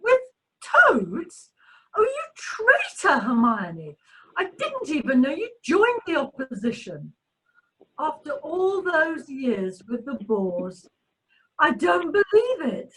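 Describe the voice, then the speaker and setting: Woman playing a snobbish lady, very animated pitch, arch, exclamatory, catty, radio play. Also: slow pace, exaggerated voice, low-quality recording. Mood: outraged. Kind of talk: amateur radio play acting.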